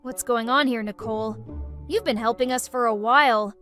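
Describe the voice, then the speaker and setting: A woman's voice: fairly high pitch, moderate pace, a bit bright, soft background music, close to an everyday voice. A woman voicing a commanding, wary young squad leader in a cartoon dub, serious and authoritative.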